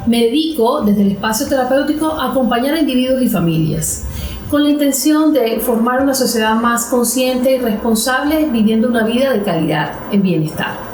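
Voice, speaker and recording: A woman's voice: fairly high pitch, expressive, brisk, a little mellow, faint music behind. A Venezuelan woman, a therapist being interviewed, explaining things in an earnest, didactic way, point by point. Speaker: therapist and coach, interview guest. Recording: roomy echo.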